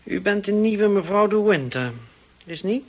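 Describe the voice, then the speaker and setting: Drawling, high-voiced young rake. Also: male voice, expressive, measured pace, dull audio. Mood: teasing. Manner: familiar, teasing, drawling. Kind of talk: radio drama acting.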